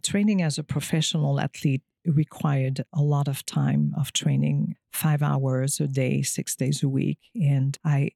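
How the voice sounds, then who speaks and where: A woman's voice: very deep voice, fairly even intonation, measured pace, creaky, hardly any pauses, warm tone, dry recording, clean studio sound. A woman telling her life story as a podcast guest, searching for words as she goes. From Quebec, Canada. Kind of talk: personal storytelling interview.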